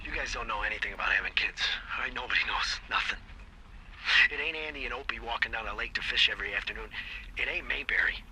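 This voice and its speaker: A man's voice: medium pitch, quick pace, pushed and bright, poor audio. An actor playing a bitter, defensive middle-aged recluse and father in a video game, rambling on tape in folksy, profane language. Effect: played back from a tape. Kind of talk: taped confession in a video game drama. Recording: taped voice with faint noise.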